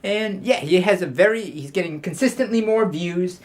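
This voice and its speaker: A woman's voice: on the low side, moderate pace, a little mellow. A woman giving a comedic YouTube commentary, praising mockingly with a straight face and often stumbling over and restarting her words. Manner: mock-serious, ironic praise; frequent stammered restarts.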